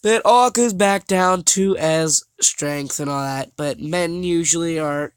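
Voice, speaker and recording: A young man vlogging over slides, talking haltingly with many fillers and restarts, his voice a little scratchy and his nose stuffed up. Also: a little high, clear, resonant tone, dry recording. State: congested, slightly scratchy voice. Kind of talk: casual talk over slides.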